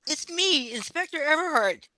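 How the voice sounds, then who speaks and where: A woman's voice: high-pitched, expressive, normal speed, sharp tone, dry recording. A woman playing a perky, scatterbrained police inspector in an audio play. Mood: searching, concerned.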